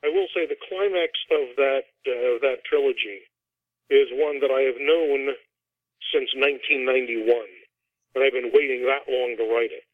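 A man's voice: mid-range voice, moderate pace, no echo. Middle-aged male author as interview guest, explanatory and conversational, dry close sound through a muffled telephone-style line. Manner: explanatory, talks at length about his fiction. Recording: dull, band-limited phone or radio-like line.

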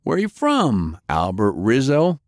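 A man voicing a rough, sardonic interrogator who talks in a folksy, taunting rural American way. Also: lowish voice, wildly swooping pitch, a little slow, no echo, clean studio sound, exaggerated voice. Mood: menacing, taunting.

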